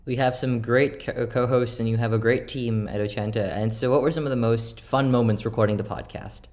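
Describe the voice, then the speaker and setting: A man's voice: mid-range voice, steady tone, a little fast, a little mellow. A male reporter hosting an interview in a polite, conversational style.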